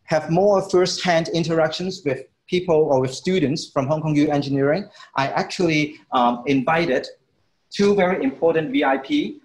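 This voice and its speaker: A middle-aged man, a professor hosting an admissions talk and panel, speaking in a friendly, halting way with many fillers, recorded on a lapel mic in a studio. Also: on the high side. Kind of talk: presentation and moderated panel. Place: studio, indoors.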